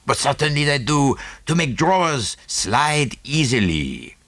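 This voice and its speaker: A man's voice: mid-range voice, very animated, a little slow, a bit bright, no echo, voice pushed up. A man voicing a small, self-satisfied Belgian detective with a French-speaking Belgian accent and a didactic, theatrical manner in an audiobook. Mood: smug, teasing. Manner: didactic, punctuated with "eh?" and French phrases.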